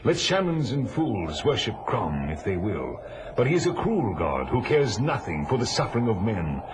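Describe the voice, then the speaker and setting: A stern barbarian swordsmith and father in an old audio drama. His low voice declaims gravely with big rises and falls, over clear background noise. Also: big pitch swings, normal speed. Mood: grave, instructing. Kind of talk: 1980s audio drama acting.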